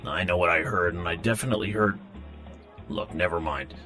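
Low-voiced exasperated holidaymaker. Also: male voice, fairly quick, bright, forceful tone, faint music behind. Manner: grumbling, incredulous. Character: exasperated, sarcastic male holidaymaker. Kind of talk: comic audio drama acting.

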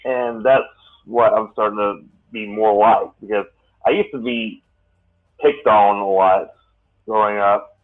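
A man in his late thirties talks in a rambling way, stopping and restarting often. His voice sits fairly low. The sound is thin and tinny, like a phone line. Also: fairly even intonation. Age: late 30s. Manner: rambling, halting with many restarts. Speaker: podcast host. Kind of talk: casual podcast chat.

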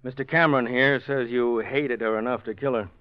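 A man's voice: medium pitch, steady tone, fairly quick, close to an everyday voice. A man playing a curt homicide lieutenant. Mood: stern. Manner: curt, hard-nosed interrogation. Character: blunt male homicide lieutenant. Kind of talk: 1940s radio acting. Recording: muffled old radio broadcast.

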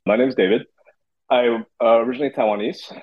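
A man's voice: mid-range voice, steady tone, fast, dry recording, highs cut off. A man in his thirties who grew up in Beijing, answering interview questions thoughtfully with frequent restarts. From Beijing, China. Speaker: podcast guest, entrepreneur.

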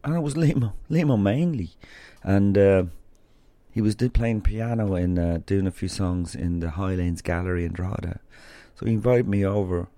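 Deep-voiced rambling Irish man. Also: normal speed, warm tone, no room sound. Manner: rambling, chatty, loose storytelling. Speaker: podcast host. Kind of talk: casual rambling monologue and interview.

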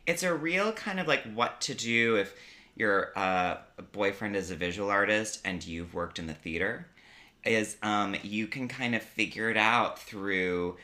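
Man, podcast host, campy, chatty, bright tone, apartment. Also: lowish voice, measured pace. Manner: chatty, campy, trails off and restarts. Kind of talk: casual chat.

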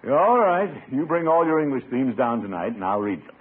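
An actor playing a pompous but soft-hearted middle-aged uncle, theatrical and chuckling, in 1940s radio comedy style on an old broadcast. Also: male voice, mid-range voice, big pitch swings, a little fast, slightly rough, narrow, tinny audio. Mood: indulgent.

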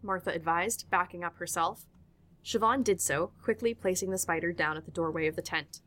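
Bright, crisp-edged female storyteller, slightly low. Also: brisk. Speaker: narrator. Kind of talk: scripted audiodrama narration.

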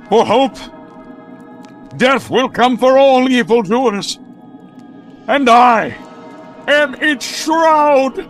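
An actor playing a pompous, melodramatic millionaire vigilante in the style of an old radio serial, with grand theatrical proclamations. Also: male voice, high-pitched, fairly bright tone, heavily acted. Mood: solemn, grand. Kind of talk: 1940s radio serial acting.

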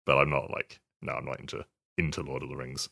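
Male podcast co-host. Very low, forceful voice, halting casual conversational delivery. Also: level tone, gravelly. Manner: halting, hesitant delivery with stammered repetitions. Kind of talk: casual chat.

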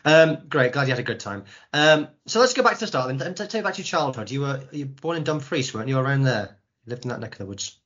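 A man's voice: medium pitch, lively intonation, a bit bright, lo-fi sound. A man hosting a podcast interview, chatty, with frequent restarts. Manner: quick, chatty, stumbles and restarts. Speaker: podcast host.

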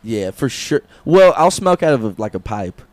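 A man's voice: mid-range voice, very animated, normal speed, darkish tone, no echo. A young man co-hosting a podcast, rambling through a silly made-up story, swearing and laughing often. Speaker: podcast co-host. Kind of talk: casual comedic chat.

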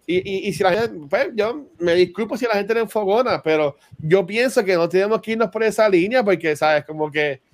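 A man's voice: high voice, a little fast, few pauses. A man co-hosting a gaming podcast, joking and arguing casually, mixing in English words. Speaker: podcast co-host. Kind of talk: casual chat.

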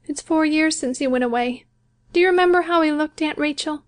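A woman reading a story aloud, voicing a gentle, resigned young bride who speaks softly and pleads. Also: high voice, fairly quick, pitched above the performer's own voice, close to an everyday voice. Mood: soft, wistful. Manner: soft and pleading. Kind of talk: audiobook character voice.

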